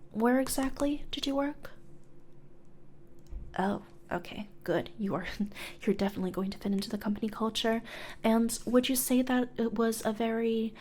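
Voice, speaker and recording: A woman playing a businesslike, politely sinister female demon recruiter in a soft, breathy ASMR whisper, close to the microphone. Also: on the high side. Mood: approving, coolly sinister. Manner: hesitant, measured corporate politeness, murmured. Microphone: close studio condenser microphone.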